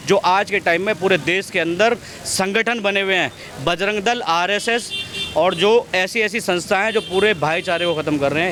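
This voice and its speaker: A man's voice: sits high, fairly bright tone. A man giving his opinion in a street interview in an emphatic, argumentative way, often repeating his phrases. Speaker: member of the public being interviewed. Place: outdoors on a city street. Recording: outdoor street with traffic noise. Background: faint street and vehicle noise.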